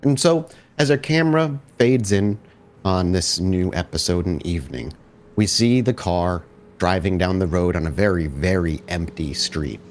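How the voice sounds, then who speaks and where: Low-voiced man hosting a tabletop game. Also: animated, normal speed. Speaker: host and game master. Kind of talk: casual podcast intro and tabletop game narration.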